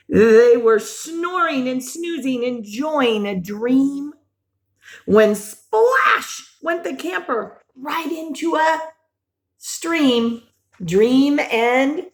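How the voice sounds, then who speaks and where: A woman with a fairly high voice reads a picture book to young children as a teacher would. Her pace is slow and deliberate, and her delivery is expressive, with exclamations and simple asides addressed to her young listeners. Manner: simple child-directed questions and commentary. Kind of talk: animated read-aloud storytelling with asides to young listeners.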